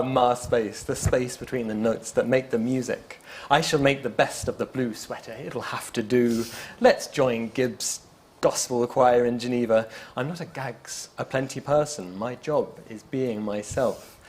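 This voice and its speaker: A man's voice: medium pitch, slight background noise. A man reading a playful poem built from repeated lines. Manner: playful, lively reading with laughs. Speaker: event poet.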